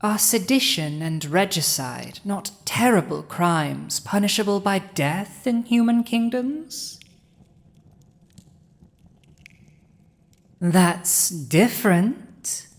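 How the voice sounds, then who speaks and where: A woman plays a cunning dragon who rules in human form, in an intimate fantasy audio drama. The voice sits somewhat low and moves very slowly, with deliberate pauses. The delivery is haughty, smug and commanding, addressed straight to the listener. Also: creaky, exaggerated voice. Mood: indignant.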